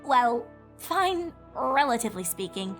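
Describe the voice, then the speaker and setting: A woman's voice: fairly high pitch, very animated, a little slow, soft background music, pitched above the performer's own voice. A woman voicing a young bookish pony princess in a cartoon fan story, earnest, awkward and tactfully explanatory. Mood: awkwardly reassuring. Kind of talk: cartoon fan fiction character reading.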